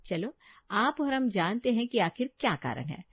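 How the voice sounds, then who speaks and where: A woman's voice: a little high, sing-song, normal speed, slightly rough, dry recording, highs cut off. A woman narrating a scripted family story expressively, addressing her listeners directly.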